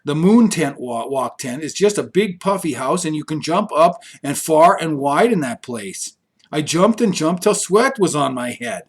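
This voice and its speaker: A man reads a children's story aloud in a fairly high-pitched voice. His delivery is expressive, scripted storytelling, shaped for young listeners. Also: unhurried, slightly rough. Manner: expressive, character-voiced reading.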